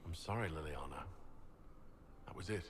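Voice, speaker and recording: A man's voice: deep voice, normal speed, pitched below the performer's own voice, lightly acted. A man voicing a grave, earnest hero in a fantasy game roleplay, subdued in delivery. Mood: sorrowful. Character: quiet, grave inquisitor hero reading out replies.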